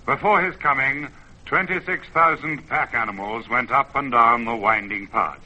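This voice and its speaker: A man's voice: mid-range voice, normal speed, highs cut off, lightly acted. A man voicing a proud, paternal mountain spirit in solemn, stately, declamatory verse for an old-time radio drama. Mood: solemn pride. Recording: vintage radio, music bed.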